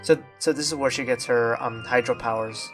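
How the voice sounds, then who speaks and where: Casual male game streamer, mid-pitched. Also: steady tone, brisk, fairly bright tone, soft background music. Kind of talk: casual playthrough reaction chat.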